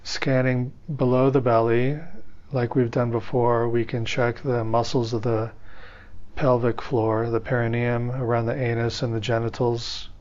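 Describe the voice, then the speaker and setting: A man with a mid-pitched voice leads a guided meditation as its teacher. He gives unhurried, step-by-step instructions and leaves pauses between phrases. The recording is a little dull and lo-fi. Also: level tone, darkish tone. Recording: slightly dull, band-limited audio.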